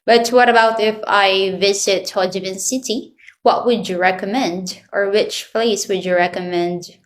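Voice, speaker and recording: A woman's voice: medium pitch, steady tone, a little slow. A woman from the Philippines teaching an online English class to children, asking patient prompting questions over a video call.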